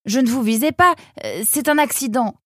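A woman's voice: fairly high pitch, expressive, moderate pace, no room sound, studio quality, pitched above the performer's own voice. A woman voicing an easily flustered, indignant young male fox, exclaiming and complaining in a children's audio story. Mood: confused, flustered. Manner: whiny, exclamatory, hesitant when confused.

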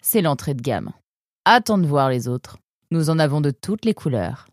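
A woman voicing a confident, proud female fox who explains things briskly in a children's audio story. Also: sits low, sing-song, no echo, clean studio sound. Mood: proud, explanatory.

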